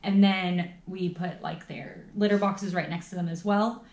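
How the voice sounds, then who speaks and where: A woman's voice: lowish voice, fairly even intonation, measured pace, darkish tone. A young woman vlogger chatting casually at home, dropping lots of "like" fillers. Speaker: travel vlogger. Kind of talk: casual chat. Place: small room at home, indoors. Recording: echoey room with faint noise.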